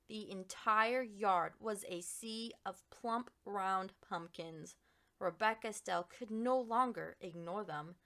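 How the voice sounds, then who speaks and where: A woman reading a children's picture book aloud as an expressive storyteller with dramatic, deliberate emphasis. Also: mid-range voice.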